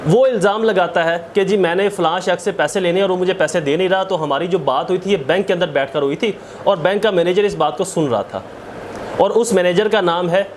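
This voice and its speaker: A man's voice: sits high, a little fast, a little hiss or hum. A man with a Pakistani accent lecturing didactically, explaining with examples and repeating phrases for emphasis. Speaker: law lecturer. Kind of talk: explanatory lecture mixing read-out statute with examples.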